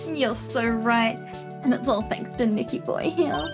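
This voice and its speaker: Woman acting a high-pitched, sweet young wife. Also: a little slow, over music. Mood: gushing, bashful. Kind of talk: live fan dub of a video game.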